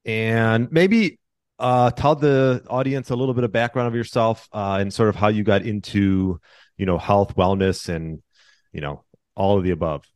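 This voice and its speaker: A man's voice: lowish voice, moderate pace, a little mellow, no room sound, studio quality. A man hosting a podcast, reading an upbeat scripted intro and then asking interview questions. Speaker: podcast host.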